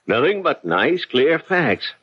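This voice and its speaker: A man's voice: on the high side, sing-song, slow pace, breathy edge, full character voice. A man playing a brusque, sarcastic newspaper editor in a 1970s radio drama. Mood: scornful, impatient. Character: gruff, scornful old-school newspaper editor, male boss. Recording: dull vintage 1970s radio recording.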